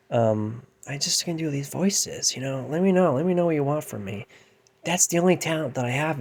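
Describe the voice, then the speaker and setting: Brief: thirty-something man, light androgynous voice, mid-range pitch, rambling self-deprecating late-night rant. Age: 30s. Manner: frustrated, self-deprecating, trailing off and restarting; keeps his voice down, with loud bursts. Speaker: musician and vlogger.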